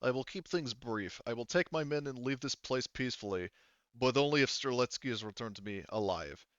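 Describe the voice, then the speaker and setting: A man's voice: mid-range voice, a little fast, no echo, muffled recording, natural voice. A man playing a terse, formal mercenary captain making demands in a tabletop game. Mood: stern, demanding. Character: curt male mercenary captain, formal and menacing.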